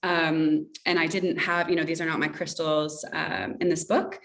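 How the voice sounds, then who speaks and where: A woman's voice: sits low, flat delivery, normal speed, creaky, distant mic. A woman author from Russia chatting effusively in an interview over a video call, rambling and circling back mid-sentence. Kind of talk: casual interview chat.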